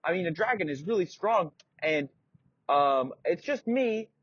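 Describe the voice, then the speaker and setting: A man voicing an eager, slightly scared little boy in a chirpy, excitable way. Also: sits high, measured pace, slightly rough, dry recording, voice pushed up, full character voice. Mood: nervous. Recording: dull lo-fi recording.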